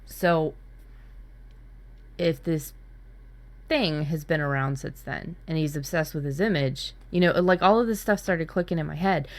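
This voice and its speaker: A woman with a slightly low voice co-hosts a writing workshop. She talks in a loose, informal style, recounting her own brainstorming and acting out little asides as she goes. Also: measured pace, long pauses.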